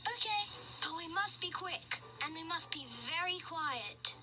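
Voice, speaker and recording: A woman's voice: very high voice, animated, unhurried, over music, pitched above the performer's own voice. A woman voicing a brave, eager young boy who speaks with urgency.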